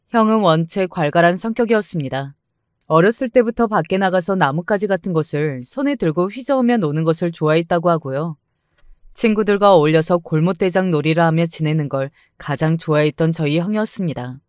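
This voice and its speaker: A man narrating a first-person family story in a calm, even scripted manner. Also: fairly high pitch, smooth, no echo, clean studio sound.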